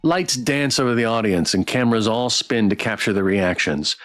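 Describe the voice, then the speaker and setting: A man's voice: fairly low pitch, expressive, moderate pace, fairly bright tone, soft background music. A man reading a visual novel aloud as a streamer, with a dramatic storyteller delivery. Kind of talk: expressive read-aloud narration.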